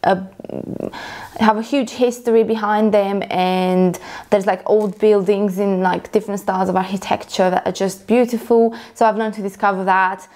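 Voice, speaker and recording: A young woman from Romania talks casually to the camera about her work as a photographer. She rambles, restarts her sentences and fills pauses with ums, in a voice of ordinary pitch. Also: steady tone, a little mellow. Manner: rambling, self-correcting, many fillers. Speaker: photographer vlogger.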